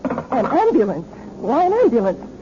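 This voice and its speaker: An actress playing a haughty, ruthless, scheming sister in a 1970s radio drama, with a clipped, imperious delivery. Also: female voice, a little high, wildly swooping pitch, moderate pace. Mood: indignant. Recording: dull, noisy old radio recording.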